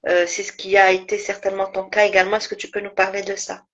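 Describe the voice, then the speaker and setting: A woman's voice: mid-range voice, monotone, quick pace, fairly bright tone. A woman hosting a podcast, interviewing a guest in a conversational way over a lo-fi online connection. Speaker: podcast host.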